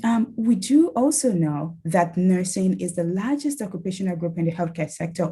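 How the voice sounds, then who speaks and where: Young woman w/ a soft nigerian-tinged accent giving an online talk, breathy voice, lots of ums and restarts but warm encouragement. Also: lowish voice. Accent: Nigerian-tinged English. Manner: hesitant, many ums and restarts, earnest and encouraging. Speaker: nurse researcher speaking at a summit. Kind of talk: online academic talk with slides.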